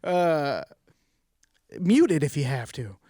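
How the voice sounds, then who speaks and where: Laid-back podcast host, slightly high voice. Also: male voice, big pitch swings, takes it slowly, dark tone, dry recording, clean studio sound. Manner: laid-back, slangy, laughs easily. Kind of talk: casual podcast chat, reading fan mail.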